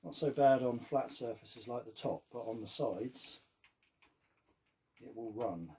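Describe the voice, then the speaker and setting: Husky, soft-spoken man. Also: mid-range voice, a little slow. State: losing his voice. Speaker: workshop host. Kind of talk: casual narration while working.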